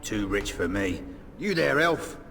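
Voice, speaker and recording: An actor playing a gruff, bullying male human guard in a fantasy video game, barking orders. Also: on the low side, big pitch swings, measured pace, bright, forceful tone, exaggerated voice. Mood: surly, bullying. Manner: gruff, commanding. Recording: echoing hall with faint ambient noise.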